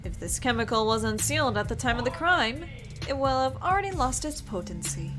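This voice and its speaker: A woman's voice: a little high, expressive, fairly quick, lightly acted. A woman voicing a haughty, devoted female Peacekeeper vice director in a video game, formal and self-important. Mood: cool, official. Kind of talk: reading video game dialogue aloud in character. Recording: loud game music behind the voice.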